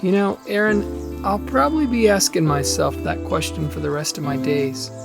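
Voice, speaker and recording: A wistful, brooding older brother, acted by a man in an audio drama. His fairly high voice has a gravelly creak, with music playing behind it. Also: sing-song, normal speed, exaggerated voice.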